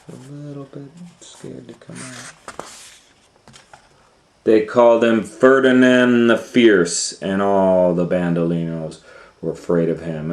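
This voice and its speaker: Deep-voiced, slow storytime man in echoey room. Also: darkish tone. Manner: dramatic, teacherly, pauses to comment on pictures and ask questions. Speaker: storytime host and reader. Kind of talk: expressive children's storybook read-aloud. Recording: echoey room with faint noise.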